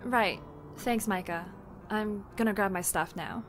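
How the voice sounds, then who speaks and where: A woman's voice: mid-range voice, a little slow, over music, close to an everyday voice. A woman playing a blunt, casual shop co-worker in an audio drama, unfussy and plain-spoken. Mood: casual, easygoing. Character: curt, casual shop co-worker ending her shift.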